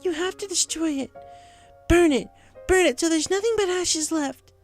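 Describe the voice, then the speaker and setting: A woman's voice: very high-pitched, moderate pace, faint music behind, pitched above the performer's own voice. A woman voicing a tearful, guilt-ridden young female pony in an emotional, pleading story performance. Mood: pleading, resolute. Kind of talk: dramatic audio fiction reading.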